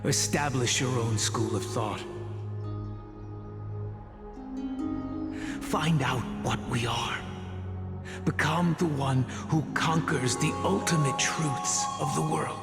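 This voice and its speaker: A male actor performs an aged, cautious mentor, solemn and weary, in game drama style. His voice is gravelly and drawn out slowly. It echoes with long reverb beneath loud music. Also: mid-range voice, lightly acted. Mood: solemn, resolved. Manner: solemn, measured, world-weary. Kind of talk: video game story acting. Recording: long echoing hall reverb under music.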